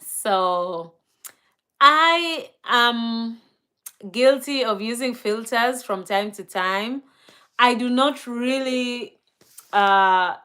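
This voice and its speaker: Chatty Kenyan woman on a slow live stream. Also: fairly high pitch, clear, resonant tone. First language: Swahili and Luo. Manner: relaxed, chatty, many fillers and laughs. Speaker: livestreamer. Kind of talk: live stream chat reading comments.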